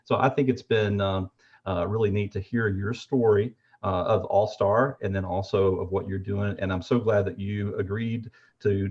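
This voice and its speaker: A man with a low voice chats on a video call, and the sound is dull and muffled. He talks freely, with plenty of fillers along the way. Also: darkish tone. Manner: chatty and praising, with many fillers. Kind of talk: casual video call chat.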